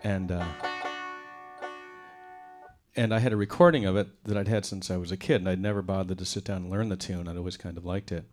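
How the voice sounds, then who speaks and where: A middle-aged man, a banjo player, chatting with wry, relaxed humor between tunes on a concert stage. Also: low-pitched, fast. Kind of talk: onstage banter between tunes. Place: concert stage before a live audience. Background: banjo music.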